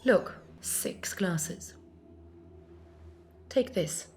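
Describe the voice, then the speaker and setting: A woman playing a solemn, poetic philosopher heroine in a dramatic monologue, deliberate and hushed with portentous pauses. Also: fairly low pitch, animated, gravelly, whispered, exaggerated voice. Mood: solemn wonder. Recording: faint background noise and ambience.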